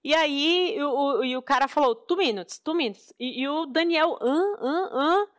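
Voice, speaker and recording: A woman, co-hosting a podcast and chiming in with chatty, animated stories. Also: high-pitched, a little slow, no room sound, clean studio sound. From Brazil. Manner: chatty, interjecting, animated storyteller. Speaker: podcast co-host. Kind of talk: casual storytelling chat.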